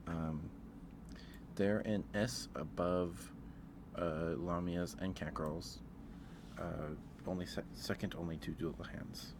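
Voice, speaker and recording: A man's voice: deep voice, background noise. A man hosting a casual ASMR livestream, chatting with viewers in a hesitant, rambling way that often restarts mid-thought. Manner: chatting back to live viewers. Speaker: livestream host.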